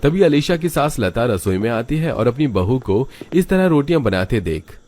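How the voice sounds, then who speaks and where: A man's voice: mid-range voice, very animated, moderate pace, a little mellow. A man reading scripted narration for a family story.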